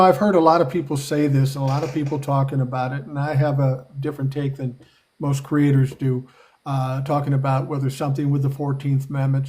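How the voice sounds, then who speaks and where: A man hosts a live call-in podcast and talks through the news in a blunt, casual way. His voice is mellow and dark, sitting in a middle pitch. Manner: blunt, profane, conversational. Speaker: podcast host. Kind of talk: casual live-stream chat.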